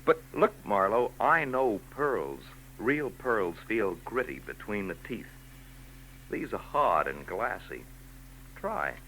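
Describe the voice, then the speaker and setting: A hard-nosed homicide lieutenant from an old radio detective drama. His low voice is bright and forceful, and it rises and falls freely as he fires off short, skeptical questions. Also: very animated, slow, a little hiss or hum, heavily acted, rough recording. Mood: knowing. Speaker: police lieutenant. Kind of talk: 1940s radio acting.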